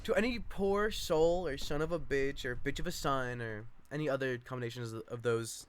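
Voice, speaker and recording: A man's voice: mid-range voice, moderate pace, clear, resonant tone. A man rambling casually to viewers as an amateur online reader, self-deprecating and given to swearing.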